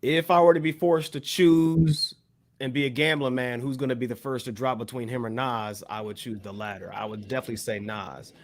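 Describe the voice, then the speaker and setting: An American man on a casual hip hop panel, talking in wordy, measured, rather formal phrases. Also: mid-range voice, little pitch movement. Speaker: talk show panelist.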